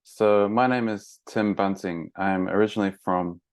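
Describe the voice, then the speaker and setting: Man, New Zealand accent, low pitch, dry close sound, relaxed explaining, lots of ums and restarts. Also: normal speed, no room sound. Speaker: guest, mountain monk trainer. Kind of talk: casual interview.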